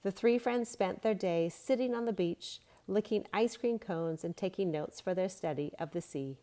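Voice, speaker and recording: A woman reading a storybook aloud as a children's yoga teacher, giving simple, gentle guiding instructions. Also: mid-range voice, moderate pace.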